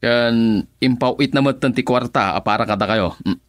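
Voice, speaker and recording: A man's voice: mid-range voice, moderate pace, natural voice. A man playing a breezy, self-assured young visitor to his home province in an Ilocano radio drama. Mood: polite, friendly.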